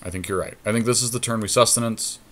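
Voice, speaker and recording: Low voiced guy talking through his card game moves, casual, figuring it out as he goes. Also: fast. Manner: deliberating, thinks out loud. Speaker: podcast co-host. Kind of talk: casual chat, thinking aloud while playing a card game.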